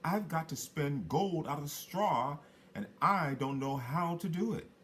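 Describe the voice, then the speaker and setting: A man's voice: fairly high pitch, unhurried, fairly bright tone, a little hiss or hum, pitched above the performer's own voice. A man voicing a distressed young maiden, a plaintive miller's daughter in a fairy tale. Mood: despairing.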